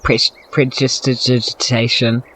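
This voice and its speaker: A man's voice: mid-range voice, little pitch movement, takes it slowly, fairly bright tone, a little hiss or hum, rough recording. A man joking casually as a player in a tabletop game.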